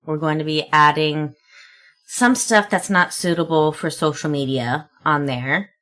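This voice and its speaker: A woman in her thirties hosts a casual podcast in a low-pitched voice. She chats easily and teases her co-host in a playful way. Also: measured pace, fairly bright tone. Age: 30s. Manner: playful, teasing. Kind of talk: casual chat.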